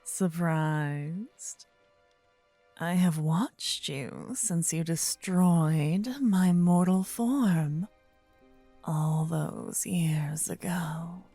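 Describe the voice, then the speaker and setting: A woman playing a possessive demon queen spirit in a fantasy ASMR roleplay, breathy, smug, teasing and regal. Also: deep voice, steady tone, extremely slow, mellow, faint music behind, exaggerated voice. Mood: smug, amused.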